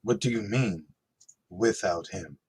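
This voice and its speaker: Radio play role: uncertain young man, low husky voice, low-key delivery, read by a man. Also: slow, a little mellow, full character voice. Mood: subdued. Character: uncertain, doubtful young man, a reluctant chosen one.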